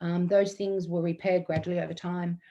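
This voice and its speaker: Emotional woman in her fifties, low voice. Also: little pitch movement, normal speed, dark tone, muffled recording. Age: 50s. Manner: earnest and emotional, with frequent ums. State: close to tears, slightly croaky. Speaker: guest storyteller. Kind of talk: personal story shared in an online talk.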